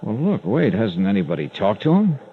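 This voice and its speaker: Hard-boiled radio investigator, clipped and impatient, lively swooping pitch, muffled vintage broadcast. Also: male voice, moderate pace, slight background noise. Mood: probing. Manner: punchy, impatient, clipped questioning. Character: brisk, hard-boiled male insurance investigator. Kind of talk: 1950s radio drama acting. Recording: dull vintage radio recording.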